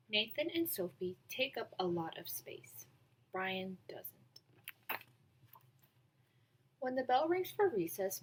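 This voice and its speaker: A woman reading a children's picture book aloud in an expressive storytelling manner. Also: medium pitch, slightly rough, poor audio. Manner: expressive storytelling with acted dialogue. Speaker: read-aloud volunteer reader.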